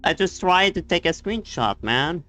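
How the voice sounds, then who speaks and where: Man, game streamer, casual muttered swearing, bright crisp voice, music in the background. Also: high voice, animated, measured pace. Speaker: livestreamer.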